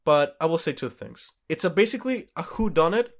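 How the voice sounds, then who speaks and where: A man's voice: a little high, lively intonation, a little fast. A man co-hosting a podcast, talking casually about movies in an informal, sweary, self-correcting way. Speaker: podcast co-host. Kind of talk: casual movie review chat.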